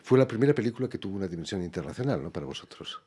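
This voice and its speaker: A man with a Spanish accent, a radio interviewer asking measured questions and ending them with tag questions. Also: sits low, very animated, mellow, slightly rough. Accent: Spain Spanish.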